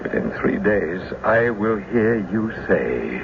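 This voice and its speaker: A deep, slow, vengeful tragic commander. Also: male voice, big pitch swings, creaky, exaggerated voice. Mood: vengeful resolve. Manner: declamatory, grave. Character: vengeful tragic military commander, consumed by jealous rage. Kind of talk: classical Shakespearean stage acting in radio drama. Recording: muffled, noisy old radio broadcast.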